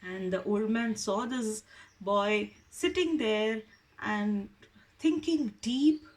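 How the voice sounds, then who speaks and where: A woman's voice: mid-range voice, takes it slowly, low-quality recording. A woman storyteller narrating informally, repeating words and phrases for emphasis.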